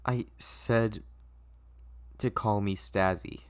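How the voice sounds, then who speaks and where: A man playing a sassy, impatient female assistant, snide and deadpan, in a dramatic script reading. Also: lowish voice, takes it slowly, clean tone.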